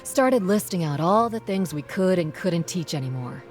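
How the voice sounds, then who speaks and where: A woman's voice: fairly low pitch, expressive, moderate pace, faint music behind, natural voice. An actress as a folksy, spirited young rebel woman. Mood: determined. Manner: folksy, plain-spoken, earnest. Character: spirited young rebel schoolteacher turned frontline quartermaster. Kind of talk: video game character dialogue.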